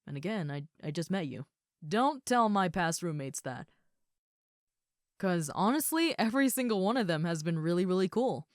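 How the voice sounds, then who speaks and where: A woman's voice: fairly low pitch, animated, normal speed, darkish tone, dry recording, studio quality, lightly acted. A woman playing a muscular female ogre roommate in a roleplay audio, friendly, easygoing and caring, with a casual, chatty way of talking and hesitant asides. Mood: chatty, upbeat. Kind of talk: roleplay audio acting, addressed to the listener.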